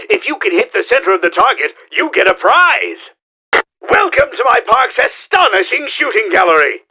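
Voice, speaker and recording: A man playing a pompous, scheming middle-aged mad-scientist villain in a video game, with a gravelly, forceful, theatrical delivery. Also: high voice, exaggerated voice, low-quality recording. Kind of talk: exaggerated video game voice acting.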